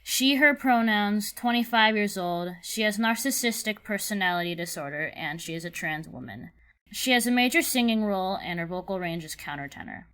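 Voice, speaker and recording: A woman's voice: medium pitch, expressive, normal speed, fairly bright tone. A woman narrating scripted audition instructions and character descriptions, with precise, emphatic enunciation. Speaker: creator of an animated series giving a casting call.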